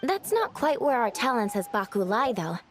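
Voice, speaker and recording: A woman's voice: a little high, very animated, moderate pace, faint music behind. A woman voicing a polite, helpful, small round Baku creature in a video game, explaining things earnestly. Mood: earnest, informative.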